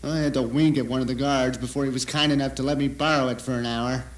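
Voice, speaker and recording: A man's voice: mid-range voice, steady tone, quick pace, slight background noise, lightly acted, rough recording. An actor playing a bitter, deluded blind man who tells his story in a theatrical, self-righteous audio drama monologue. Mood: resigned, aggrieved.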